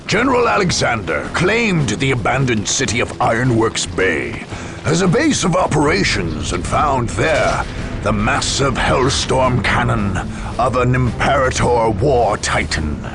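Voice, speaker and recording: A man delivering scripted dramatic narration for a war game's story, in a grave, portentous, measured storytelling manner. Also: medium pitch, very animated, gravelly. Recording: dramatic music behind the voice. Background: music.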